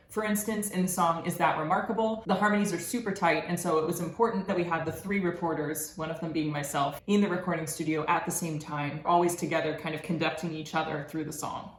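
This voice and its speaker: A man's voice: on the high side, a bit bright. A young man, a student presenter, giving a scripted narration of a presentation. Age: young adult. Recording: echoey room, faint background noise.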